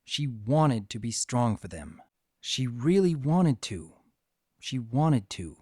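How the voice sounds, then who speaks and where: A man's voice: medium pitch, sing-song, slow, darkish tone, no echo. A man narrates a story as a dramatic reading. His intonation swings widely and expressively. The sound is dry, with very little room in it. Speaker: narrator of a fan fiction story.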